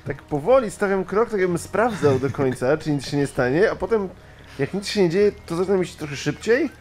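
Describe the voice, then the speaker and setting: Animated man playing a tabletop game. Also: sits high, a little fast, slight background noise. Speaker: role-playing game player. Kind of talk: casual in-character game chat.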